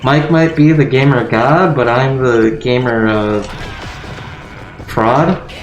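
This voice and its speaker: Slow rambling man streaming a game. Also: mid-range voice, steady tone, lo-fi sound. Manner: rambling, self-mocking, easily distracted. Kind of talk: casual live game stream chat. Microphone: home streaming mic. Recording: echoey room with game music.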